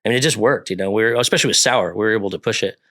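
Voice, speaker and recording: A man's voice: lowish voice, rapid-fire, fairly bright tone, no room sound, studio quality. A man in his forties from Northern California, a podcast guest talking casually in a rambling way, with lots of fillers and restarts. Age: 40s.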